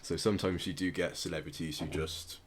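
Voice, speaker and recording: A man with a low-pitched voice hosts a casual podcast. He explains things conversationally, rambling a little and softening his points as he goes. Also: steady tone, a little fast, breathy edge. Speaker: podcast host.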